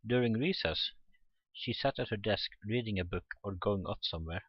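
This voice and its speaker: A man's voice: lowish voice, moderate pace, breathy edge, no room sound, dull audio. A man reading a visual novel aloud for a let's play, informally, stumbling over the text and adding his own reactions. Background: visual novel game music.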